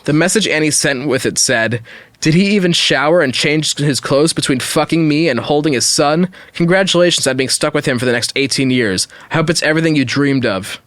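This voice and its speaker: A man's voice: fairly high pitch, brisk, fairly bright tone, dry recording. A man hosting a podcast, reading an online story aloud in a casual way with wry, jokey asides. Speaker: podcast host.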